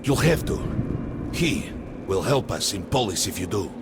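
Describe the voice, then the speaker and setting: A man's voice: low voice, big pitch swings, normal speed, very noisy. An actor playing an insistent male survivor in a dramatic video game, speaking with urgent, persuasive conviction. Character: impassioned, insistent male survivor advising a colonel. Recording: long reverb, music underneath. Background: music.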